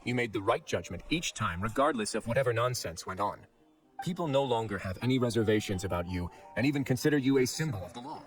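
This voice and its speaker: A man voicing a shrewd, composed prison fortress administrator in an anime game, courteous, smooth-talking and deliberate. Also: medium pitch, animated, close to an everyday voice. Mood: earnest, assured. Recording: faint background music.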